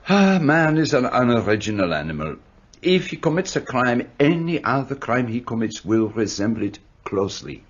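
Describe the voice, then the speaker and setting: A precise middle-aged detective: measured, formal, husky, dull lo-fi sound. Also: male voice, medium pitch, sing-song. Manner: measured, formal, faintly foreign phrasing. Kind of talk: radio play acting. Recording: dull muffled studio audio.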